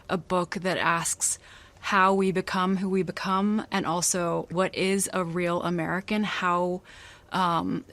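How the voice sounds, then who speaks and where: A woman in her thirties, an author born abroad, answering interview questions thoughtfully with many pauses and restarts. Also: mid-range voice, a bit bright. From Malaysia. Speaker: author guest.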